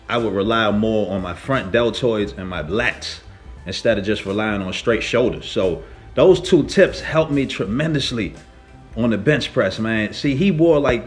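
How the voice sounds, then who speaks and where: A man telling a story casually as a lifting vlogger, with an animated, slangy and emphatic way of talking. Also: on the low side. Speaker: fitness vlogger, powerlifter.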